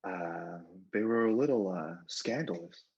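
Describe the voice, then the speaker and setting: A muffled call-in recording of a man with a fairly low, husky voice. He speaks haltingly and tentatively, as a casual caller to a phone-in show. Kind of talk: hesitant casual phone chat.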